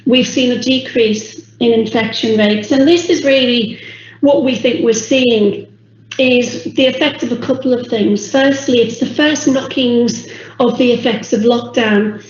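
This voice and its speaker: Woman, english accent, hoarse, fairly high voice, echoey, dull video call sound. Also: fairly even intonation, darkish tone. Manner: measured, with frequent ums. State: losing her voice. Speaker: public health officer presenting. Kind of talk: online council meeting briefing.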